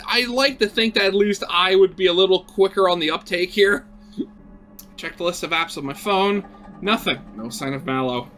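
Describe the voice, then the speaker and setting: A man's voice: sits high, pushed and bright. A man narrating a visual novel aloud as a gaming host, switching between storybook-like reading and offhand, sarcastic commentary.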